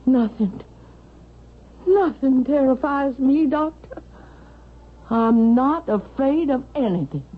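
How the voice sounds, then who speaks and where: A woman's voice: sits high, animated, slow, pauses often. An actress playing a proud, imperious older mother who hides her fear, in a 1970s radio drama. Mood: shaken, defiant. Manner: imperious, disdainful. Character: haughty, proud, fearful older mother, once wealthy. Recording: tinny, hissy vintage radio recording.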